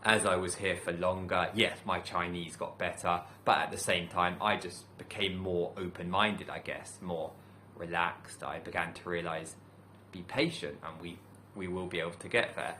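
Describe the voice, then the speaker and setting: A man speaks in a low voice that still sounds bright and clear. He is a teacher giving a calm, reflective talk, pacing his thoughts with measured pauses. A faint hiss sits under the recording. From United Kingdom. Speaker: teacher and channel host. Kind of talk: reflective solo talk to camera.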